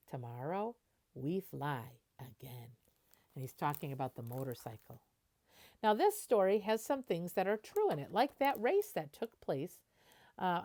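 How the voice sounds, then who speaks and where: A woman with a slightly low voice reads a children's story aloud. Her pitch moves around a great deal as she tells it expressively. She adds plain explanations along the way, like a teacher. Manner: animated storytelling, explaining and translating as she goes. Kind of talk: expressive picture-book read-aloud with explanatory asides.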